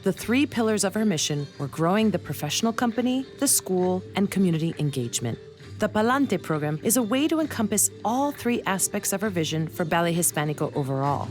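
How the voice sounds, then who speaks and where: A woman hosting a travel and dance show, reading a clear, informative scripted voice-over narration. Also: fairly low pitch, big pitch swings, a little fast, a little mellow, faint music behind.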